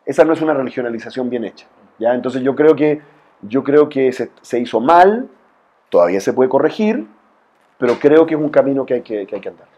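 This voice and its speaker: Chilean male forum guest, mid-range mellow voice, informal storytelling argument, emphatic and full of conversational check-ins. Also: mid-range voice, animated, fairly quick. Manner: colloquial, emphatic, frequent tag questions. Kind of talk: informal spoken argument with anecdotes.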